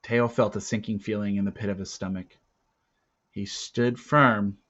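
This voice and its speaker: A man reading a children's novel aloud with deliberate, dramatic phrasing. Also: fairly low pitch, fairly even intonation, a bit bright, lo-fi sound. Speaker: story reader. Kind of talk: expressive read-aloud narration of a children's novel.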